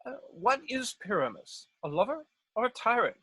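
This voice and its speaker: A woman's voice: fairly high pitch, big pitch swings, moderate pace, fairly bright tone, breathy edge, dry recording, exaggerated voice. A woman playing a self-important, overeager male weaver in a Shakespeare read, declaiming theatrically. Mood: eager, bossy. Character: Nick Bottom, a bombastic, overeager male weaver and amateur actor. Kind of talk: Shakespeare table read over video call. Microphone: video call, close.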